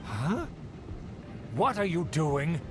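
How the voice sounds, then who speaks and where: Pompous, high-pitched scheming aristocrat. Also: male voice, sing-song, very slow, noisy background, full character voice. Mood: indignant. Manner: pompous, theatrical, formal diction. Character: haughty scheming male aristocrat, pompous and self-righteous. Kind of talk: video game voice acting.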